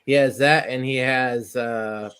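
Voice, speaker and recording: A man in a relaxed casual stream chat, reading out viewer comments. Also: mid-range voice, slow pace, clean tone.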